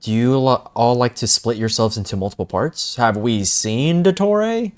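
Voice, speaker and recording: Lively young man reacting to a game. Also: mid-range voice, moderate pace. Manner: slangy, exclamatory. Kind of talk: casual live reaction commentary.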